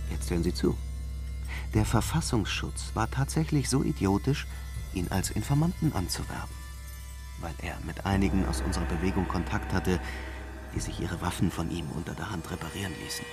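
An actor playing an arrogant, cold-blooded man in a radio drama, mock-polite and condescending. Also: sits low. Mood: scornful, heated. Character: arrogant, cold-blooded male far-right schemer, condescending and menacing. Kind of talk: naturalistic radio drama acting.

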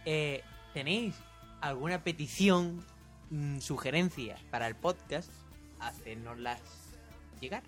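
Rambling, chatty Spanish podcast host. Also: male voice, fairly high pitch, slow pace, fairly bright tone. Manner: rambling, chatty, asks listeners for feedback. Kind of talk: casual chat. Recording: faint background music.

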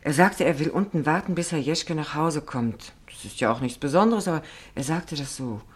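Casting: nervous female neighbour for radio drama, low register, hesitant and fretful. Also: lively intonation, normal speed. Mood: worried. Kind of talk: German radio play acting.

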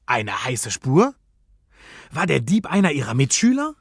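A man's voice: medium pitch, huge pitch swings, a little slow, slightly rough, dry recording, clean studio sound. A man narrating a children's detective radio play in a suspenseful, dramatic storytelling manner.

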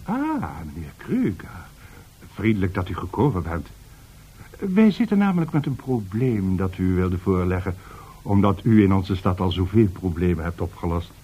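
An actor playing a courteous, deferential bank director in a radio drama, with a husky voice and formal manner. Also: male voice, low voice, big pitch swings, moderate pace, warm tone, lo-fi sound, full character voice. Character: courteous, flattering bank director seeking help. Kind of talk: radio play acting.

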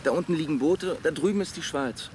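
A man's voice: a little fast, darkish tone, a little hiss or hum, lightly acted. A man playing a grim, terse young group leader. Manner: low and terse. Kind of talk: radio drama acting.

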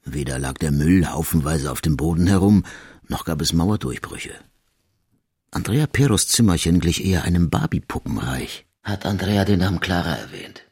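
A very deep-voiced, dry police detective. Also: male voice, expressive, darkish tone, breathy edge, full character voice. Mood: matter-of-fact. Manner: measured, formal, probing. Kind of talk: radio crime drama dialogue and first-person narration. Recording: music under the voice.

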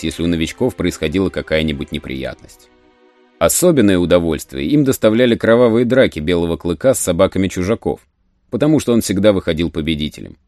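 A man narrating an adventure audiobook in a measured, literary storytelling manner. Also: low-pitched, a little mellow.

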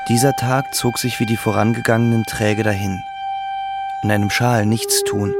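A man's voice: fairly low pitch, gravelly. A man reading scripted literary narration as the narrator of a German audio drama.

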